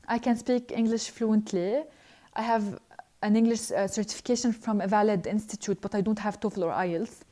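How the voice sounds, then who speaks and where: Young woman, alumna hosting a live Q&A. Mellow, relaxed voice, earnest, halting with fillers. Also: medium pitch. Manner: earnest, hesitant, frequent filler pauses. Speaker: scholarship alumna answering viewer questions. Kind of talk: live online Q&A, reading questions then answering.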